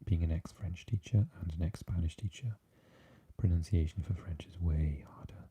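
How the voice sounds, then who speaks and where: A man with a Swansea Welsh accent, chatting softly with live viewers and often dropping into an unvoiced whisper. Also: normal speed, husky. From Wales. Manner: mixes unvoiced whispering with low, deep speaking. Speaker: ASMR live streamer reading chat. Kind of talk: soft-spoken live chat with viewers.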